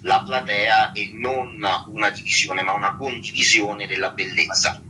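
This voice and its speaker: A man with a lowish, very bright and rough voice chats as a guest in a radio interview. His tone cuts through with a hard, husky edge. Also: level tone, moderate pace, low-quality recording.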